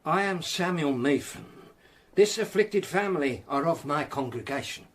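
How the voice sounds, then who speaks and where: An actor playing a stern, grey-haired Bible preacher in a period radio drama, solemn, righteous and fervent. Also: male voice, fairly high pitch, animated, moderate pace. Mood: righteous, reproving.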